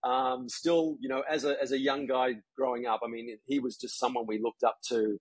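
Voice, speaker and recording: Australian man, husky voice, dry sound. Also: medium pitch, normal speed, no echo, low-quality recording. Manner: relaxed, rambling with many fillers. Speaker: golf coach, guest. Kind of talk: casual interview chat. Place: home, residence in Jakarta.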